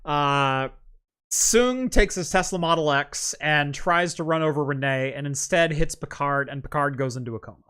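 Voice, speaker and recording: A man's voice: a little high, moderate pace, sharp tone, clear, resonant tone. A man co-hosting a casual chat, recapping a story in a wry, joking way. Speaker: co-host.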